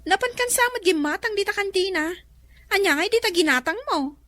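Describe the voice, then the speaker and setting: A woman's voice: extremely high pitch, lively intonation, fairly quick, fairly bright tone, dry recording. A woman playing a gossipy, inquisitive neighbor with an Ilocano Filipino accent and chirpy delivery in a radio comedy. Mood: prying.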